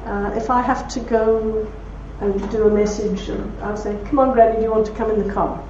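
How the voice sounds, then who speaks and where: A woman with a broad Belfast accent speaking to an audience, telling anecdotes with warmth and laughter. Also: mid-range voice, steady tone, normal speed, slight background noise. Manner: warm anecdotal storytelling with laughs. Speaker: speaker at a talk. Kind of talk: talk with audience questions. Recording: roomy hall from far off, dull.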